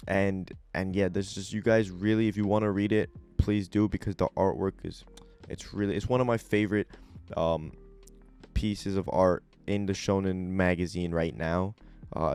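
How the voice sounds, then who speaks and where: A young man hosting a casual review video, talking in a relaxed, rambling way with many fillers, his voice a little raspy because he just woke up. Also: low voice. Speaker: anime and manga video host.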